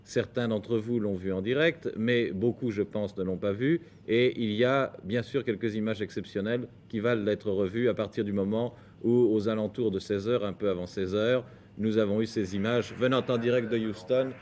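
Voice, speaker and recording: A man's voice: lowish voice, steady tone, moderate pace, few pauses, a little mellow. A man presenting and commenting on footage as a 1970s television news anchor. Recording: noisy 1971 French TV newsreel.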